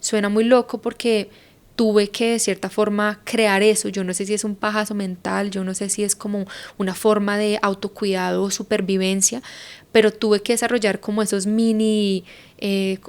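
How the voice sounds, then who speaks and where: Colombian woman, 30s, guest; relaxed reflective talk with gravelly fry, filler-heavy. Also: medium pitch, normal speed. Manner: reflective, thinking aloud with many fillers. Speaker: podcast guest. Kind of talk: casual conversation.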